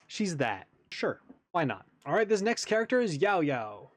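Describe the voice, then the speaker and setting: A man's voice: on the high side, big pitch swings, normal speed, background noise. A man hosting a gaming reaction video, thinking aloud in casual, tentative, improvised commentary. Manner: tentative, musing.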